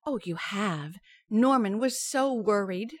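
A woman's voice: medium pitch, animated, slow pace, no room sound, clean studio sound. An actress playing an anxious, grateful young expectant mother in an old-time radio sitcom, sweet and hesitant.

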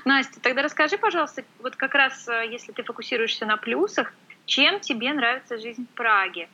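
A woman's voice: a little high, fairly quick, pushed and bright, breathy edge, highs cut off. A woman hosting an interview, asking long questions and murmuring short affirmations. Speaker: interviewer host.

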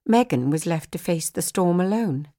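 A woman narrating a novel as a scripted audiobook, with an expressive, measured storytelling manner. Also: lowish voice.

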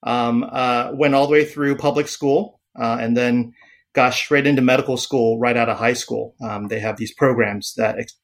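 A man in his forties from Taiwan, a psychiatrist speaking as a podcast guest, pausing often with fillers and restarts. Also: mid-range voice, steady tone. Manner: hesitant, many fillers and restarts. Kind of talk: podcast interview.

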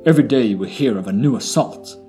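A man's voice: mid-range voice, animated, a little fast, mellow, soft background music, natural voice. A man playing a proud, refined blood elf officer in a fantasy audio drama, speaking formally and articulately. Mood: earnest, resolute.

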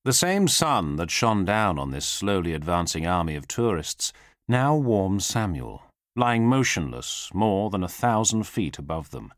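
A man narrates a thriller audiobook in a deep voice that moves widely in pitch. His delivery is measured and solemn, building suspense with weighted pauses. The sound is dry and close, with no room around it. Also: sing-song, dry recording.

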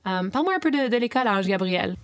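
A young woman from eastern Ontario, interviewing her younger brother with gentle, prompting questions. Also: medium pitch, lively intonation, racing, no echo. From Eastern Ontario, Canada. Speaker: interviewer, older sister. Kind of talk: casual interview.

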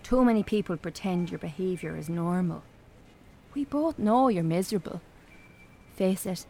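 A woman's voice: lowish voice, animated, moderate pace, dark tone, slight background noise. An actress playing a frank, concerned small-town Irish woman, blunt and earnest, in naturalistic radio drama. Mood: blunt, frustrated.

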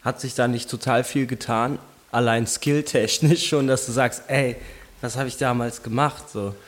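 Ein Mann, der als Interviewer locker und umgangssprachlich neugierige Fragen stellt. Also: male voice, mid-range voice, steady tone, normal speed. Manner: casual, slangy, eager questions. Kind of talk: casual interview chat.

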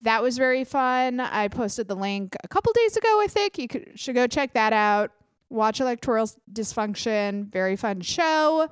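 A young adult woman hosting her own podcast, chatting casually with quick self-deprecating asides, sounding a little congested. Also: a little high, very animated, clean tone, no echo, clean studio sound. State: congested, clogged ear. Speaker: podcast host. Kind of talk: casual solo podcast chat.

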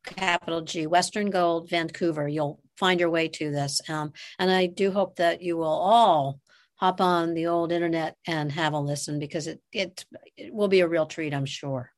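A woman's voice: low voice, fairly even intonation, normal speed. A woman speaking as a podcast host in a conversational interview.

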